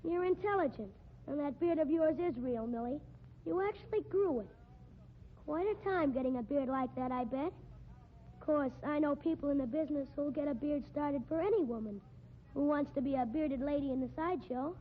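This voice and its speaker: An actor playing a sneering, spiteful little circus midget with a small piping voice, pompous and taunting, in 1950s radio drama style. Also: male voice, very high-pitched, fairly quick. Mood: sly, needling. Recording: muffled, noisy old radio recording.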